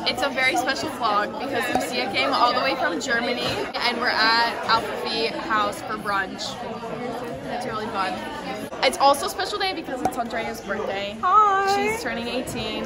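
A young woman greeting her vlog on a phone at a busy house brunch. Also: a little high. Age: young adult. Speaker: friend filming a vlog. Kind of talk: casual chat. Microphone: phone at arm's length.

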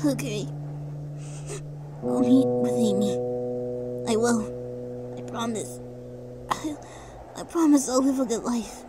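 Woman playing a high-voiced frightened young fox boy. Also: vocal fry. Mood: tearful, halting. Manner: pleading, halting delivery. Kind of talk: emotional audio drama acting. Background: music.

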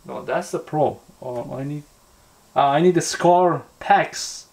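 Higher-voiced man reviewing casually. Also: a little mellow. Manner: halting, repetitive, thinking aloud. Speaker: product reviewer and vlogger. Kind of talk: casual hands-on review talk. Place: indoors at a tabletop.

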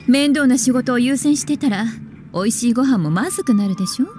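Woman acting as elegant game witch, high voice, coquettish, languid, teasing, background music. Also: animated, fairly quick, darkish tone, natural voice. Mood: playful, relaxed. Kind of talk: Japanese video game voice acting.

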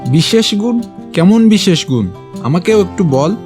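A man's voice: sits high, lively intonation, measured pace, over music. A man playing a greedy, grasping village uncle in a cartoon moral tale, brusque and demanding. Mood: greedy, curious.

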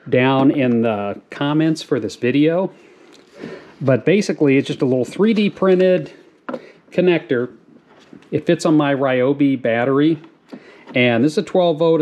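A middle-aged man hosting a farm channel, explaining a do-it-yourself project in a relaxed, rambling way with lots of fillers, filmed in a garage on an action camera. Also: on the high side. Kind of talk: casual how-to video narration. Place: garage, then outdoors on a farm lawn.

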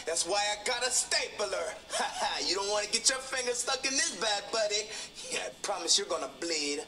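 A man's voice: high voice, animated, moderate pace, sharp tone, soft background music, full character voice. A man playing a panicky, blustering night guard in a comedy, repeating himself frantically.

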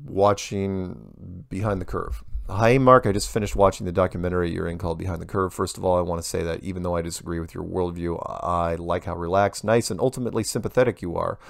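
A man's voice: low voice, fairly even intonation, fast, vocal fry, no echo, studio quality. A man hosting a show, reading listener emails aloud and commenting casually with wry asides.

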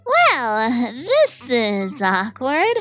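A woman voicing a feisty, easily flustered young female cartoon hedgehog with dramatic, drawn-out delivery. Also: fairly high pitch, wildly swooping pitch, sharp tone, heavily acted. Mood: awkward, embarrassed. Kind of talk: comic dub voice acting. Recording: music playing behind the voice.

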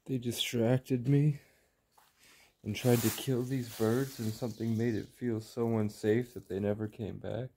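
A man in his twenties giving a slow, rambling monologue in short, halting phrases while walking outdoors. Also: mid-range voice, fairly even intonation, mellow, breathy edge. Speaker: vlogger. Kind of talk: slow rambling monologue, ASMR-style walk.

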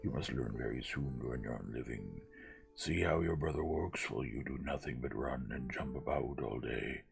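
A man's voice: very deep voice, animated, normal speed, creaky, faint music behind, voice pushed down, full character voice. A man voicing a weary, stern father in a storybook, grave and admonishing. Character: weary, stern father of two grown sons.

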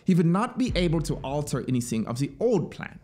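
A man's voice: a little high, big pitch swings, a little fast, mellow, pitched above the performer's own voice. A man voicing an eager, talkative military officer in a dramatic storybook reading, insistent and explanatory. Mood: proud, fervent. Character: eager, talkative male military officer, devoted to his machine. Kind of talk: dramatic audiobook character reading.

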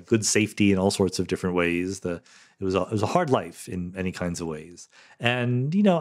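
A middle-aged man hosting a solo podcast, reading listener questions and answering them in a thoughtful, conversational way with fillers and restarts. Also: lowish voice.

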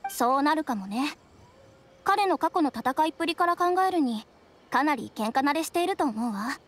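A woman's voice: high voice, lively intonation, moderate pace, fairly bright tone. A woman voicing a composed, knowing young female detective in a Japanese anime game, matter-of-fact and self-assured. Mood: serious, appraising. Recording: game music behind.

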